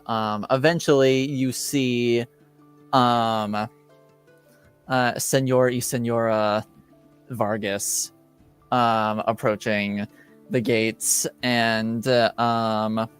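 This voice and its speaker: Man, game master, slow pace, halting improvised narration, searching for words, music behind. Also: medium pitch, level tone, smooth.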